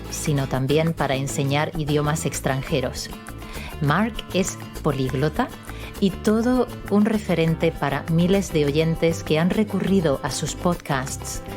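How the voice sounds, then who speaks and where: A middle-aged woman from Málaga, a Spanish teacher, hosting a podcast and interviewing a guest with encouraging backchannels. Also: low-pitched. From Spain, Málaga. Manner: warm encouraging interviewer with frequent ujú backchannels. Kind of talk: scripted intro then interview questions.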